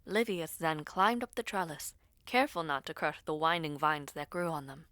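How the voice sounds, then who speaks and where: A woman reading a scripted dramatic story in a measured, hushed literary manner. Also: deep voice, dry recording.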